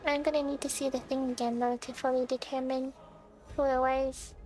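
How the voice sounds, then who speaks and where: High-voiced sleepy woman livestreamer. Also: little pitch movement, moderate pace, darkish tone. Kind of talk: casual game reaction stream, chatting with viewers. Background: game music.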